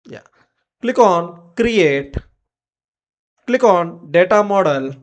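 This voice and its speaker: A tutorial presenter narrating step-by-step software instructions in short, clipped commands. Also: mid-range voice, animated, very slow.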